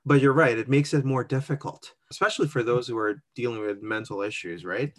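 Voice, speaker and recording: A man's voice: medium pitch, fairly quick. A chatty man in the middle of his range talks through a form step by step and thinks aloud as he goes. His tone stays casual and easygoing, and he laughs lightly at his own slips. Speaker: co-host walking through an online immigration form. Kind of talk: casual screen-share walkthrough.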